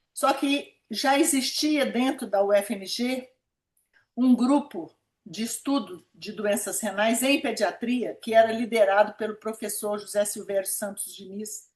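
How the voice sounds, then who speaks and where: Older brazilian lady from minas, highish voice, telling life stories in an interview, echoey room. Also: unhurried. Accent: Brazilian, Minas Gerais. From Minas Gerais, Brazil. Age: about 70. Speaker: interviewee, pediatric nephrologist. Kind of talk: conversational autobiographical interview.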